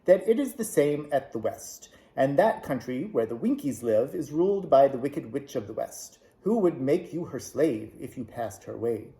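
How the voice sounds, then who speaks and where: A man voicing a small, grave Munchkin man in a storybook reading, speaking in a measured, warning way. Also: mid-range voice, big pitch swings, gravelly, dark tone. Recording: echoey room.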